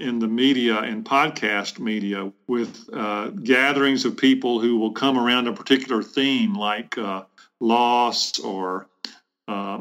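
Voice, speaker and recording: A middle-aged man, a bishop answering interview questions in a reflective, halting way with measured phrasing. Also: mid-range voice, a bit bright. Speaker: bishop, podcast guest. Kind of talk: thoughtful interview conversation.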